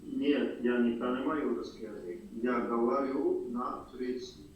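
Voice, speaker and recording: A Kazakh-speaking man from Kazakhstan learning Russian, answering in hesitant, halting repetitions. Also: mid-range voice, darkish tone. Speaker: language student. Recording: echoey room, distant mic, faint noise.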